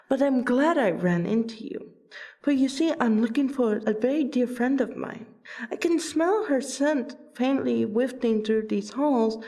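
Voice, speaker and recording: A woman playing a courteous royal vampire and loyal friend in a fantasy audio roleplay, with a polite, theatrical, deliberate delivery. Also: on the high side, darkish tone. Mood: confident, sly.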